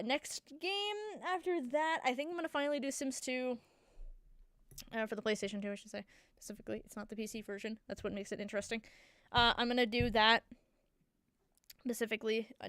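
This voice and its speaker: A woman's voice: on the high side, clean tone, no room sound, clean studio sound. Congested young woman rambling casually. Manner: rambling, many fillers and restarts. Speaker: gaming channel host. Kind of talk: casual chat.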